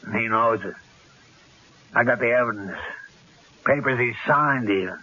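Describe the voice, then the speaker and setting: Sharp, husky gangster killer. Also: male voice, mid-range voice, moderate pace. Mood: boastful. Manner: tough, slangy street talk. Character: cocky, menacing gangster killer holding a couple at gunpoint. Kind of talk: 1970s radio drama acting. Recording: dull, lo-fi old radio broadcast.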